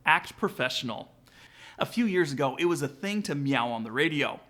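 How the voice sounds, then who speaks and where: Male vlog host for how-to videos: slightly high voice, very lively intonation, casual scripted delivery aimed straight at the viewer. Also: moderate pace. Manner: casual, direct address to viewers. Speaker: aviation vlog host, student pilot. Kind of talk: upbeat scripted tutorial narration with sponsor read.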